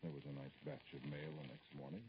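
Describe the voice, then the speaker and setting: An actor playing an aggrieved, dignified politician in an old radio drama, speaking formally and hesitantly in a gravelly voice. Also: male voice, flat delivery. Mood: wounded, indignant. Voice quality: very deep, gravelly. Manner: hesitant, formal, pointed, with pauses. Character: aggrieved middle-aged male politician, dignified, brooding, addressing a caller. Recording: noisy, dull vintage radio recording.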